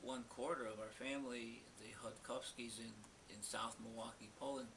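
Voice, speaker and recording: A man's voice: mid-range voice, fairly even intonation. Older guy from the US telling family stories, stops and restarts a lot, hiss behind him. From United States. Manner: halting, searching for words, many restarts. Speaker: interviewee, parish committee member. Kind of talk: oral history interview. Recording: hissy recording.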